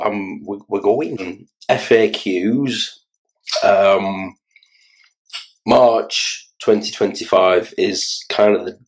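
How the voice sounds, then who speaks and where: A tired Irish man in his forties with a Dublin accent, talking casually and haltingly to his phone camera in a small room. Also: low-pitched, low-quality recording. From Ireland. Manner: rambling, halting, self-mocking. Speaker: children's entertainer and vlogger. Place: small room indoors, in an office chair. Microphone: phone camera held close.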